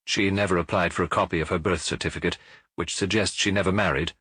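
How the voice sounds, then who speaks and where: Male narrator as jovial police superintendent, middle-aged, talkative, husky, audiobook detective story. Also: low-pitched, steady tone, fast, pushed and bright, dry recording, full character voice. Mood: businesslike. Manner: hearty, talkative, reporting.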